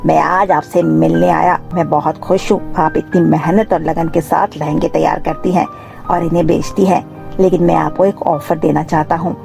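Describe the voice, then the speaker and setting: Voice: medium pitch, little pitch movement, moderate pace, vocal fry, over music. A performer voicing a polite, persuasive company man in a cartoon moral story.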